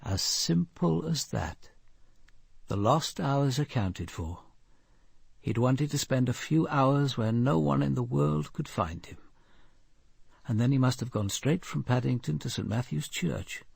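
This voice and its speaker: An actor playing a brooding, analytical senior British detective in a radio drama, speaking in a grave, measured and deliberate way. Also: male voice, medium pitch, gravelly, a little mellow, husky, dry recording, studio quality, exaggerated voice. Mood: grave, reflective. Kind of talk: radio drama acting, reflective narration.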